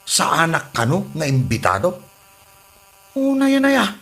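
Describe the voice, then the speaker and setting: Man acting an animated, sulky Ilocano husband. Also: a little high, measured pace, full character voice. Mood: aggrieved. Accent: Filipino, Ilocano. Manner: complaining, sulky. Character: aggrieved, whiny freeloading husband who loves drink. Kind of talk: comedy audio drama acting.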